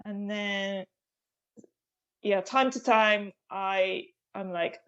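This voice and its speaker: A woman whose first language is Japanese, chatting on a podcast as a comedian guest, halting and laughing often. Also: mid-range voice, steady tone, a bit bright, highs cut off. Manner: hesitant, halting phrasing with frequent laughs. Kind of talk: casual podcast chat.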